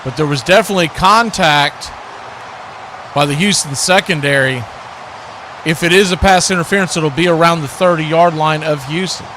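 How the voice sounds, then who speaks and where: A man's voice: fairly high pitch, moderate pace, pushed and bright, a little hiss or hum. A man calling live football play-by-play as host, in clipped, telegraphic phrases. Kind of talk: live sports play-by-play commentary.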